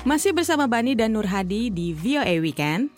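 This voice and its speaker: A woman's voice: a little high, sing-song, fairly quick. A woman co-hosting a radio magazine show in casual, chatty banter. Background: music under the voice.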